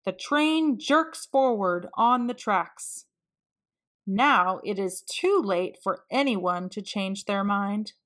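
A woman reading a children's storybook aloud, expressively and with deliberate emphasis for young listeners. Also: medium pitch, expressive, fairly bright tone. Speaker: children's read-aloud narrator.

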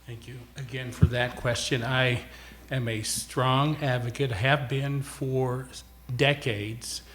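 A man gives a forum answer at a slow pace in a mid-range voice. His phrasing is formal and deliberate, with firm emphasis on his points. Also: medium pitch, a bit bright. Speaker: school board candidate. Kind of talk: answering questions at a candidate forum. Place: TV studio.

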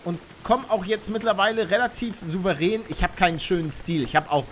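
A man's voice: high voice, a little fast, slight background noise. Chatty young male streamer, faint rain behind. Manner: chatty, reads chat aloud, bursts into excited shouts. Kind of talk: live game stream chat. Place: home streaming room, indoors. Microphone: studio mic on arm near face.

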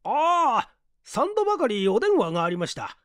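A man's voice: very high-pitched, wildly swooping pitch, moderate pace, fairly bright tone, no echo, clean studio sound, voice pushed up, full character voice. A man voicing a deferential middle-aged night-duty clerk in a story reading, politely reporting. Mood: dutiful. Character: deferential male night-duty office clerk, around fifty.

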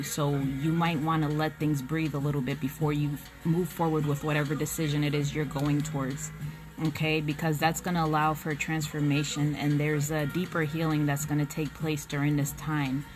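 Young woman, low voice, casual swearing tarot reader talking close to a phone at home, music bed behind. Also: level tone, moderate pace. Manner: frank, blunt and swearing, with frequent "um" and "like". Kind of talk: casual spoken tarot reading. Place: small indoor room at home. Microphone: phone camera at close range.

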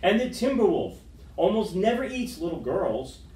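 Animated man reading aloud, roomy and distant. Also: high voice, normal speed, a little hiss or hum. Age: middle-aged. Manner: dramatic, animated storytelling. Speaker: storytime reader. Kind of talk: expressive read-aloud of a children's picture book. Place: school room with wood-panelled walls, indoors. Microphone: far camera microphone. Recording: roomy, distant camera microphone.

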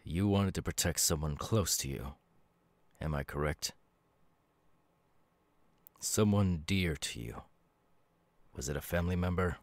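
Man acting as a sorrowful gentle demon, very deep bass, unhurried, reflective, pausing, intimate ASMR roleplay. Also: no room sound. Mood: sympathetic. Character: melancholy, gentle male demon who was once human. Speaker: voice actor.

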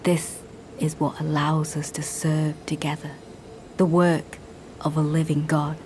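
An actress playing a solemn, devout female knight in a video game, with measured, formal, archaic phrasing and a courteous but coldly zealous manner. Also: low-pitched, level tone, darkish tone, slight background noise. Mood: composed, courteous. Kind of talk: scripted video game dialogue.

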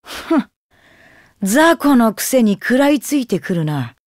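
A ruthless demon boy from an anime game. The voice is fairly high and dryly recorded. He talks with icy contempt and haughty menace. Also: big pitch swings, slow, dry recording, clean studio sound. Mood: menacing.

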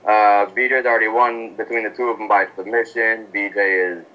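A man co-hosting a podcast, giving quick, blunt, decisive opinions in casual chat. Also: on the low side, level tone, pushed and bright, poor audio. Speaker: podcast co-host.

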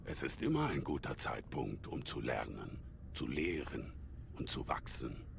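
An actor playing a calm, wise old alien Jedi master in a video game, speaking in a measured, formal way. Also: male voice, very deep voice, gravelly, a little hiss or hum, full character voice. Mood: wise, gentle. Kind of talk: video game cutscene acting.

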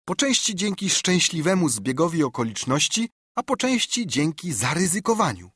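A man's voice: fairly high pitch, big pitch swings, moderate pace, fairly bright tone, breathy edge, dry recording, clean studio sound, pitched above the performer's own voice, full character voice. A man voicing a frightened but honourable teenage boy who pleads and holds firm, in an audiobook. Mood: pleading. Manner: pleading, stubborn. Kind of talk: audiobook character reading.

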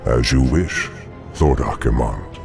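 Haughty male dreadlord for a fantasy game: rock-bottom gravelly voice, formal, sinister. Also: extremely low pitch, slow, music in the background, full character voice. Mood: haughty resolve.

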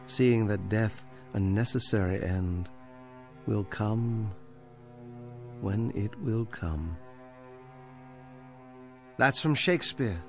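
Wry, dignified condemned bookish man. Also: medium pitch. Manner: theatrical, measured, literary recitation. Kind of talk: radio drama acting.

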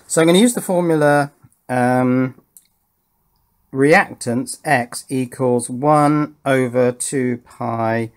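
A man's voice: medium pitch, slow. A man giving a casual, hands-on technical explanation in an informal, methodical way, as a tutorial host. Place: indoors at a workbench.

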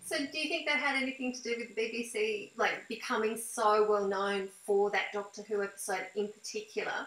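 A woman's voice: medium pitch, steady tone, brisk, a bit bright. A middle-aged woman hosting an interview over a video call, moving between prepared introduction and conversational questions. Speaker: interviewer, host. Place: indoor room at home, video call. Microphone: device mic on a video call. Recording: echoey room on video call.

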